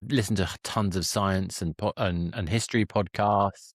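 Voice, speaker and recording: A man with a fairly low voice hosts an interview in a conversational style. He is thoughtful and wordy, circling his point as he goes. The sound is close and dry. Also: steady tone, moderate pace, no echo. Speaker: podcast host interviewing a guest.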